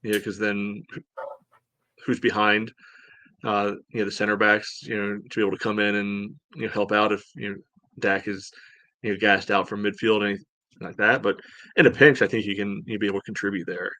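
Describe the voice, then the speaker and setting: Lower voiced guy chatting soccer on a podcast, kinda halting and measured, really dry recording. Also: level tone, sharp tone, no echo. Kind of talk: casual sports analysis chat.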